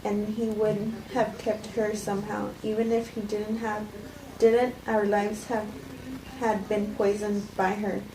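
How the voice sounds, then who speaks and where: A woman reading the part of a self-justifying murderess calmly confessing, in a halting amateur table read. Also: medium pitch, close to an everyday voice. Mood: matter-of-fact, bitter. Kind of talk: cold table read of a script. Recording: echoey room, distant mic, noisy background.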